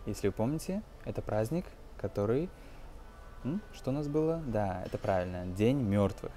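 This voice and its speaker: A man's voice: lowish voice, animated, moderate pace, warm tone, soft background music. A man in his twenties giving a casual English lesson over a film, explaining hesitantly and switching into English for examples. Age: 20s. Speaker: English teacher, video host.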